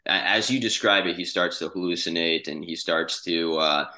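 A man co-hosting a casual podcast interview, speaking in deliberate, halting phrases. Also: deep voice, bright, forceful tone. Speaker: podcast co-host.

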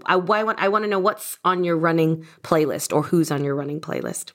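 A woman hosting a podcast in an easy, friendly chat. Her voice sits slightly low. She sounds enthusiastic and a little gushing as she leads the questions. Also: brisk, a bit bright. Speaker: podcast host. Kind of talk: casual interview chat.

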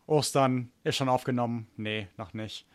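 A man's voice: medium pitch, fairly even intonation, normal speed, no room sound. An American man, a native English speaker, co-hosting a podcast in casual chat, self-deprecating and full of restarts. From United States. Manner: chatty, self-deprecating, frequent restarts and fillers. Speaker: podcast co-host.

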